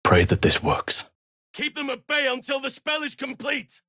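A man voicing an earnest, solemn druid healer in a fantasy video game, speaking in measured, formal phrases. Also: extremely high pitch, wildly swooping pitch, sharp tone, no echo, clean studio sound, full character voice. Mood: battle cry. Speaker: video game companion character. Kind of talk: scripted fantasy game voice acting.

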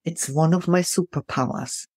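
A woman's voice: deep voice, moderate pace, no room sound. A middle-aged woman with a mixed European accent, telling stories emphatically as an interview guest. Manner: animated, emphatic storyteller. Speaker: guest, keynote speaker. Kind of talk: storytelling interview answers.

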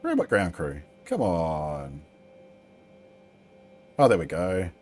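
Low-voiced Kiwi man rambling through a flight. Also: wildly swooping pitch, slow, a little mellow. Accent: New Zealand. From New Zealand. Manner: rambling, many ums, mildly sweary. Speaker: flight sim streamer. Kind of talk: casual gameplay commentary. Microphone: headset.

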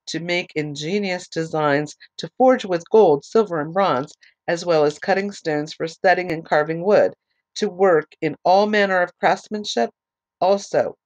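A woman teaching a Bible study whose voice is fading from allergies. She reads scripture aloud slowly, adds short explanations, and spells out names letter by letter. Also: fairly low pitch. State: losing her voice from allergies.

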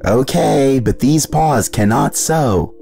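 A man's voice: medium pitch, animated, slow pace, creaky, warm tone, faint music behind. A man voicing a wry, skeptical but loyal talking dog in a children's cartoon. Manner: wry, reluctant. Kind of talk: animated children's cartoon acting.

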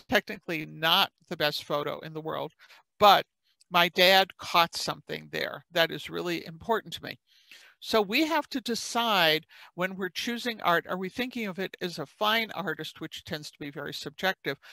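A woman's voice: fairly low pitch, fairly bright tone, no room sound, poor audio. A woman from the South Side of Chicago with a Chicago accent, giving a chatty online workshop presentation and acting out quoted speech.

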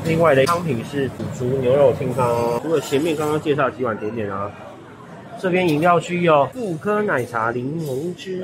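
Chatty Taiwanese man touring a buffet. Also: medium pitch. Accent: Taiwanese Mandarin. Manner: chatty, lists dishes with frequent 哈 and 啊 tags. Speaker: food vlogger. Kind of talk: casual restaurant walkthrough. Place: busy hotel buffet restaurant indoors. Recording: noisy restaurant background.

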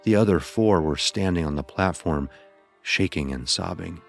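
A man narrating a dramatic first-person horror story in a grave, measured manner. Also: low voice, gravelly, faint music behind.

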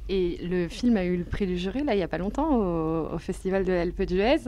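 A woman's voice: fairly low pitch, animated, brisk, warm tone, slight background noise. A young adult woman, asking questions casually as a radio interviewer. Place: small dim indoor lounge. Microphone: handheld interview microphone.

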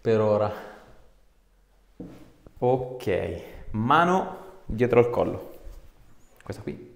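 Low, gravelly man giving treatment instructions. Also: big pitch swings, drawn-out words. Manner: short coaxing commands, often repeated, with joking asides. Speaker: chiropractor treating a patient. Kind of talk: hands-on instructions and casual chat with patient. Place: small indoor treatment room. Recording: echoey small room, faint background noise.

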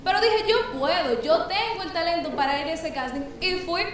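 A woman's voice: very high-pitched, lively intonation, normal speed, fairly bright tone, very echoey, recorded from a distance. A young adult woman giving an upbeat introduction of herself on a TV show. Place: indoor restaurant by an aquarium. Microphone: handheld microphone.

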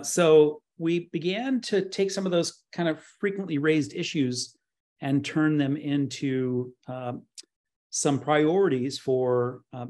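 A middle-aged man giving an online slide presentation in halting, pause-filled phrases. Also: mid-range voice, a little mellow. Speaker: conference presenter, nonprofit co-founder. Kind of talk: slide presentation at a virtual expo. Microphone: webcam on a video call.

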